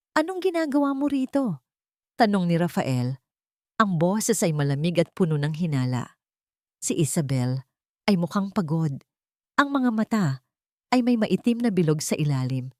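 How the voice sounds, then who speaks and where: A woman's voice: medium pitch, a little mellow, dry recording, studio quality. A woman reading a scripted dramatic story as its narrator.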